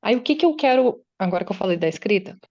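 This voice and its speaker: Woman, guest speaker at an online faith meeting: chatty, voices her listeners' doubts, mellow tone, dull call sound. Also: medium pitch, lively intonation, quick pace. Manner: conversational, acts out listeners' doubts in quotes. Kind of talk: faith-based motivational talk. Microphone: video call.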